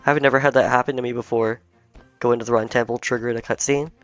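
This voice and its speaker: A man hosting a gaming video, slightly hoarse while recovering from illness, chatting and thinking aloud as he plays. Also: medium pitch, steady tone, quick pace, soft background music. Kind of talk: casual let's-play commentary. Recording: dull, dry home recording.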